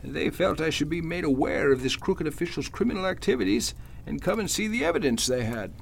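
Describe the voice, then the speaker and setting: An older man playing a gentlemanly, earnest veteran judge with a gravelly, husky voice in an old-time radio drama. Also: fairly high pitch, animated, fairly quick, a little hiss or hum. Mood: earnest, firm. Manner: earnest, old-fashioned formal speech. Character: genial but suspicious veteran male judge, gentlemanly.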